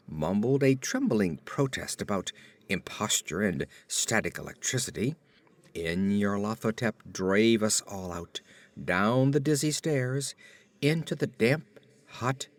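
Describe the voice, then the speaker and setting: Low voiced guy narrating a horror audiobook, really dramatic, pitch goes up and down a lot. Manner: theatrical, deliberate phrasing.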